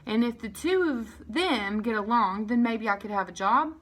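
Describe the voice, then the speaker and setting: An eager young girl from a children's story, voiced by a woman. She is polite and folksy, speaking high and bright as she earnestly makes her case. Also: animated, normal speed. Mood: earnest pleading.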